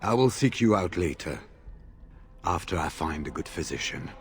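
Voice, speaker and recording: An actor playing a weary, courteous senior warden freed from captivity, measured and formal, in a video game. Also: male voice, low-pitched, animated. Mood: grave, relieved. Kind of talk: video game cutscene acting.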